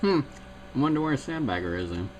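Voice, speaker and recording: A man's voice: medium pitch, big pitch swings, a little fast. A man chatting over CB radio, rambling and chatty, with a technical bent. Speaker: CB radio operator. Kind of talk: casual radio chat. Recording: CB radio with clear static.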